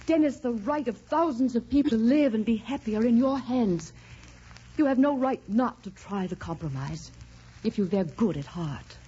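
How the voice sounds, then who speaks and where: Soft, high-voiced devoted sweetheart, old radio. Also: female voice, animated, moderate pace, husky. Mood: pleading. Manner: earnest, heartfelt. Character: pleading, devoted young woman in love. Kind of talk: old-time radio melodrama acting. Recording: dull, noisy old radio recording.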